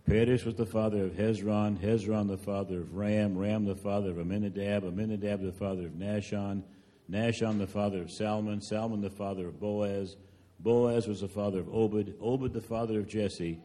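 A man with a low, very mellow and dark voice reads scripture aloud. He serves as the narrator of a scripted dramatic reading in church. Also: fairly even intonation, moderate pace.

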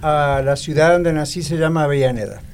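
A man's voice: fairly high pitch, brisk, a little hiss or hum. A man in his sixties from Argentina with an Argentine accent, a pastor speaking as an interview guest with easy good humor. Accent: Argentine Spanish. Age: 60s. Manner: good-humored, relaxed storyteller. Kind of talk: casual interview talk.

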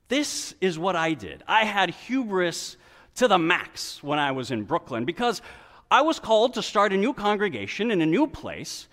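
A man preaching a sermon as a pastor, telling stories in an animated, conversational manner. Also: high voice. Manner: animated, conversational, acts out dialogue in quotes. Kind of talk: storytelling sermon. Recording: reverberant hall, church-like echo.

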